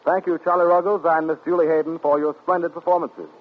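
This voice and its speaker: A man's voice: a little high, little pitch movement, fast talker. A man announcing in a polished, ceremonious 1940s broadcast style. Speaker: radio announcer. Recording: tinny 1940s radio broadcast.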